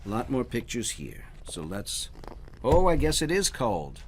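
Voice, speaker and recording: A man reading storybooks aloud for children in a dramatic, expressive way, at times dropping to a hush. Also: medium pitch, measured pace, background noise. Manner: dramatic, dropping to hushed near-whispers. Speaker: storybook reader.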